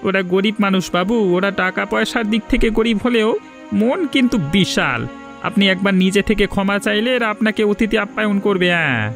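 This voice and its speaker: Cartoon role: stern, righteous country doctor, played by a man, high-pitched and sermonising, over music. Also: a little fast, fairly bright tone. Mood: admonishing, encouraging. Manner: preachy, admonishing. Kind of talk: Bengali moral cartoon dubbing.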